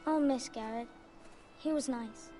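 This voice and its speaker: A high, childlike voice of a naive little noble boy acted by a woman. He is plaintive and candid, speaking in a fantasy video game drama over faint music. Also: a little slow, warm tone, natural voice. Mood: sad, anxious.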